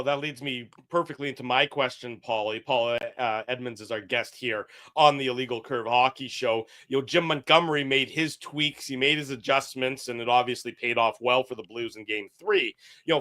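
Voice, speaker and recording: A man's voice: medium pitch, a little fast, few pauses, sharp tone. A man co-hosting a hockey talk show, asking long, loose questions packed with casual fillers. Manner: loose, chatty, lots of "you know" fillers. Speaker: co-host.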